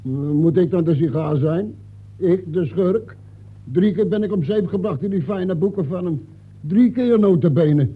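An actor playing a grumbling villain from a crime novel, hesitant and aggrieved, in an old radio play. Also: male voice, high-pitched, measured pace, slightly rough. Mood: indignant. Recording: old radio recording, thin phone-like band.

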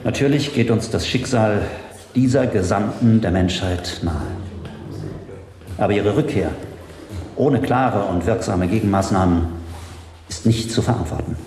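An actor playing a grave official in a science fiction radio drama, speaking formally and deliberately about a threat to humanity. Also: male voice, fairly low pitch, darkish tone, lightly acted. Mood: stern, urgent. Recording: echoey hall, long reverb, noisy background.

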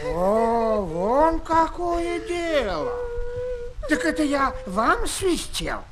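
A performer playing a kindly old grandfather watchman in a children's audio drama, gruff but soft-hearted, muttering and repeating words. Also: high voice, very animated, drawn-out words, exaggerated voice. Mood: curious, chiding. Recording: noisy old 1970s flexi-disc recording.

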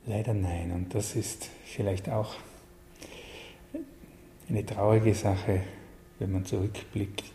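A man giving reflective interview answers in halting, deliberate phrases. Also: fairly low pitch, steady tone, mellow. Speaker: interviewed official and literary advisor. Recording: echoey hall, faint background noise.

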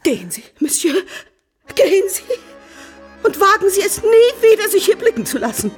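A proud, virtuous married lady in a radio play, has a very high voice. She carries herself with theatrical dignity over faint music. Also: sing-song, moderate pace. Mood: outraged, resolute. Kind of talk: German radio drama acting.

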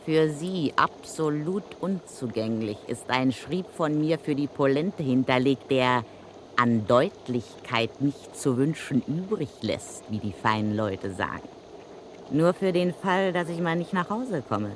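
An actress playing a cocky, slangy widow of a crook who blackmails a murderer, in a vintage radio play. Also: female voice, rumbling low, animated, measured pace, a little hiss or hum. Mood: menacing.

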